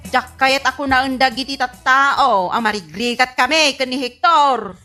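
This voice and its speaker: A woman's voice: high voice, moderate pace, sharp tone, faint music behind. A woman playing a stubborn, boastful, spendthrift married daughter with an Ilocano Filipino accent in a comedy radio drama, brash and defiant.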